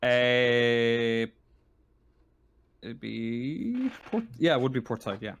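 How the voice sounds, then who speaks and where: A man's voice: mid-range voice, lively intonation, drawn-out words, gravelly, muffled recording, slight background noise. A man acting as game master, narrating a tabletop roleplaying game in an informal, slightly unsure, self-correcting way.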